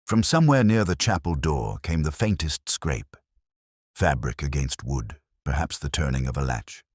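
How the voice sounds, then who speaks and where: A man reading a first-person detective story as narrator, in a measured, brooding, reflective way, close to a studio mic. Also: very deep voice, no room sound.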